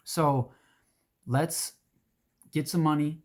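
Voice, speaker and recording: Hoarse man giving an earnest talk. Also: fairly high pitch, fairly even intonation, very slow. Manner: earnest, rambling with restarts and fillers. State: hoarse, throat-clearing. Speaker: advocacy group organizer. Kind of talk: casual direct-to-camera talk.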